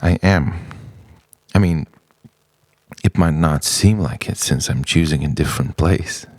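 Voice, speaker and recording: A man narrating an introspective spoken-word monologue in halting, musing phrases full of rhetorical questions. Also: extremely low pitch, a little mellow, no room sound. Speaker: narrator of a personal art film.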